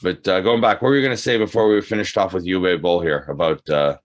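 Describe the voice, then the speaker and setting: A man with a slightly low voice hosts a relaxed, informal chat. His delivery is conversational and agreeable. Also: quick pace. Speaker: host. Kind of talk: casual chat.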